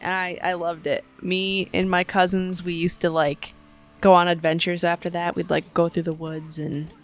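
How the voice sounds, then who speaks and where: A woman's voice: fairly low pitch, level tone, normal speed, faint music behind. A woman co-hosting a casual chat show, telling personal stories in an informal, conversational way. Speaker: podcast co-host.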